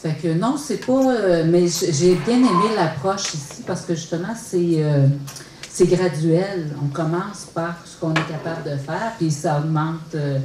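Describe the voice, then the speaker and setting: A woman's voice: on the low side, measured pace, noisy background. Hesitant Québécois woman in her fifties. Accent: Québécois French. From Quebec. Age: 50s. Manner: hesitant, many fillers and restarts. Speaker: research study participant. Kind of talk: public testimony at a talk. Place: lecture hall. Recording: roomy and distant.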